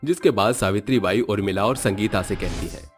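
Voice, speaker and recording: A man narrating an animated moral story in a steady, matter-of-fact way. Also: lowish voice, fairly quick, soft background music.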